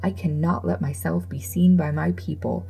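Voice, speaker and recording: Woman putting on a shrill, snarling little dwarf man. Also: normal speed, vocal fry, dark tone. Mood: outraged. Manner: snarling, scolding, grumbling insults. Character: ill-tempered, ungrateful little old dwarf man with a beard. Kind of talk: fairy tale storybook reading. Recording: music behind the voice.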